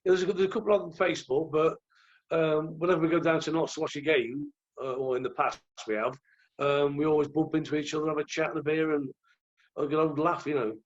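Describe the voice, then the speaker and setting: Croaky man, muffled audio. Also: a little high, steady tone, a little fast. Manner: rambling, restarts often. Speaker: guest, former goalkeeper. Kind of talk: relaxed anecdotal chat.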